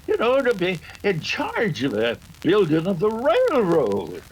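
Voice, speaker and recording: An actor playing a folksy, excitable older frontier father in an old-time radio western, stammering in a rustic drawl. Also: high-pitched, measured pace, breathy edge, full character voice, poor audio. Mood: impressed.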